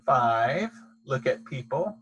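A middle-aged man reading a children's picture book aloud in a deliberate, expressive storytelling manner, recorded outdoors. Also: medium pitch, highs cut off, background noise. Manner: deliberate, expressive delivery for young listeners. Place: outdoors, in an open wooded park.

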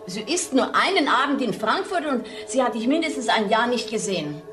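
A woman's voice: on the high side, very animated, a little fast, over music. An actress playing a proud, possessive elderly mother in a crime radio play, reproachful and guarded. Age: older. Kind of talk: radio crime drama acting.

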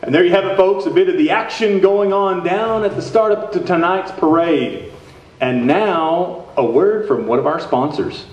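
A man's voice: high voice, moderate pace, darkish tone, close to an everyday voice. An older man playing a folksy small-town radio station host in a live radio play, with showy announcer patter. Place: theater stage with stand microphone. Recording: echoey stage hall, dull sound.

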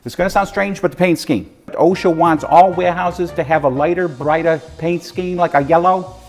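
A man's voice: on the high side, steady tone, moderate pace, faint music behind. A man in his forties hosting a prank, posing as a safety inspector and lecturing warehouse workers in a rambling, mock-serious way inside a large warehouse. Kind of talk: improvised deadpan prank bluster.

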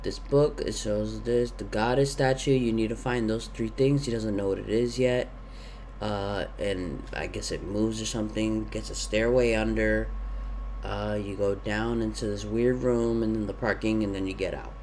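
A man's voice: lowish voice, fairly even intonation, moderate pace, darkish tone. A young man talks through his gameplay into a headset, rambling and thinking aloud with plenty of ums. His throat is sore and he has the sniffles. A faint hiss of noise sits under his voice. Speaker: gaming YouTuber. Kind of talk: casual let's-play commentary. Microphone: gaming headset.

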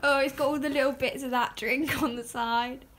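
A woman's voice: high-pitched, fairly even intonation, normal speed. A teenage girl chatting through a casual travel vlog from a small hotel room, giggly and offhand, filming on a phone at arm's length. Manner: giggly, chatty, tours the room with dry remarks. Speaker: vlogger. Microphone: phone camera held at arm's length. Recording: noisy phone recording.

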